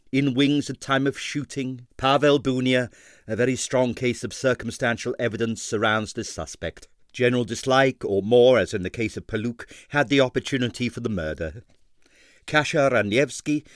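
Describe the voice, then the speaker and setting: A man narrating a comic detective novel as an audiobook reader, in a measured, dramatic storytelling delivery with emphatic phrasing. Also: medium pitch, dry recording, clean studio sound.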